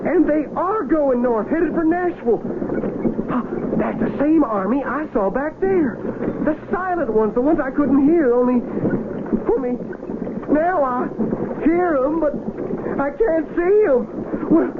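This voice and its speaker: An actor playing a confused, guilt-ridden wounded Civil War soldier in a radio drama, with an American accent and folksy, stammering speech. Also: male voice, very high voice, animated, measured pace, long pauses, heavily acted. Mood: bewildered, urgent. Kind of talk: 1970s radio drama acting. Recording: tinny, noisy old radio recording.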